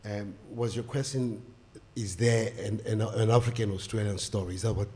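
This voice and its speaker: An older man, a guest author on a stage panel, speaking haltingly with many fillers into a stand mic. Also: lowish voice, steady tone, dark tone, a little hiss or hum. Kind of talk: panel discussion. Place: stage of a small indoor venue.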